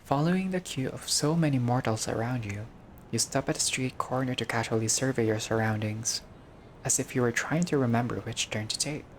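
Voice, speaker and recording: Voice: medium pitch, a little fast, a little hiss or hum. A gamer reading a visual novel aloud in a casual let's-play style, breaking off into joking, sweary asides.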